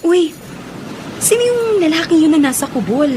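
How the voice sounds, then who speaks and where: Casting: affectionate college-age granddaughter, high-pitched, Filipino accent, radio drama over faint ambience. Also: female voice, big pitch swings, normal speed, background noise. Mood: curious. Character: sweet young female student, affectionate granddaughter.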